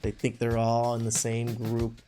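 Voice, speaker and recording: A man's voice: mid-range voice, completely flat delivery, a little slow, dark tone, soft background music. A man hosting a reaction commentary, throwing in sarcastic, mocking asides. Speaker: host.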